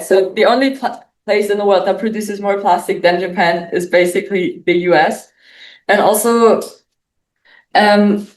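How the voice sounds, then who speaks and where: A woman's voice: mid-range voice, recorded from a distance. German teen girl presenting, echoey room. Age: teens. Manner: casual, lots of "like" fillers. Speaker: student presenter. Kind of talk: class presentation.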